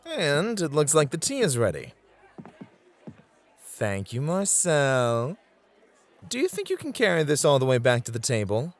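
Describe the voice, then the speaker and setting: A man's voice: on the high side, wildly swooping pitch, measured pace, long pauses. A man playing a charming, gallant club host in an ASMR roleplay, flirty and teasing in an effusive, courtly way. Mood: warm, teasing.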